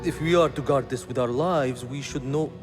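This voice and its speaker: An actor playing a courteous but uneasy Khalifa in a game cutscene, speaking formally. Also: male voice, medium pitch, moderate pace, music in the background, natural voice. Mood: nervous deference. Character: anxious courteous Khalifa, regal but deferential ruler. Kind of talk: video game cutscene acting.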